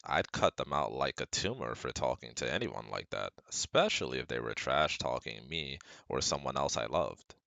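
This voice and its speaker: A man's voice: rumbling low, sing-song, normal speed, vocal fry, few pauses, bright, forceful tone, no room sound, full character voice. A young man playing a caring, protective best friend and psychology student in a scripted ASMR roleplay, speaking earnestly and reassuringly to the listener. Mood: frank, supportive. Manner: reassuring, earnest, talks directly to a close friend.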